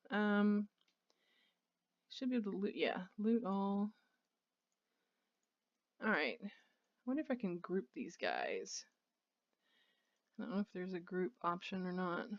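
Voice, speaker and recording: A woman's voice: mid-range voice, dull audio. A woman giving casual let's play commentary on a video game, rambling and thinking aloud as she plays.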